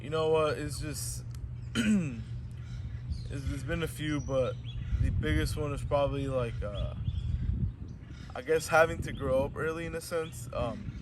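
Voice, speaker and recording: Young man clearing his throat, rambling. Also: fairly high pitch, a little slow, long pauses. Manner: rambling, many fillers and restarts. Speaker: sports journalist, interview guest. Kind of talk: casual interview answers. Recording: outdoor street noise, distant mic. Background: traffic and passing vehicles.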